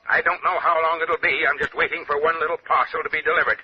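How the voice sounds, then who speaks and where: An actor playing a gruff, suspicious, irritable wealthy financier with a brusque, barking manner in an old-time radio drama. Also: male voice, a little high, level tone, fast. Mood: irritable, dismissive. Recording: muffled, noisy vintage radio recording.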